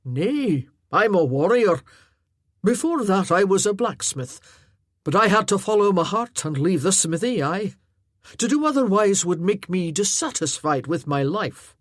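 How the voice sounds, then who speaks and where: A woman voicing a huge earnest Highland warrior. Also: big pitch swings, unhurried, no echo, studio quality. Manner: earnest, chatty, loud.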